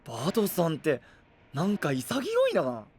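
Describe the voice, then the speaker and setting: A man playing an excitable, food-loving, boyish knight with a cheeky casual manner in an anime audio drama. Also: fairly high pitch, moderate pace, a little hiss or hum. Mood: surprised, frank.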